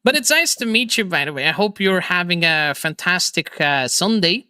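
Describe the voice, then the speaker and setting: A man hosting a casual video chat stream, energetic and laughing often, with quick restarts. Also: sits high, clear, resonant tone, no echo, clean studio sound. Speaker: streamer and host.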